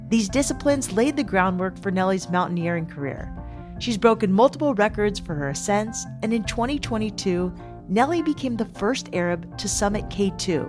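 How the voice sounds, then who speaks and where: A woman hosting a podcast, moving between scripted narration and interview questions. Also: mid-range voice. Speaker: podcast host.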